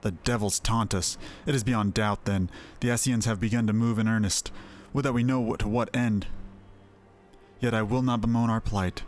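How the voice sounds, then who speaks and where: Solemn low-voiced male leader played by an actor. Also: natural voice. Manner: solemn, formal, archaic wording. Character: grave, resolute male leader of a secret order. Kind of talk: scripted fantasy game dialogue.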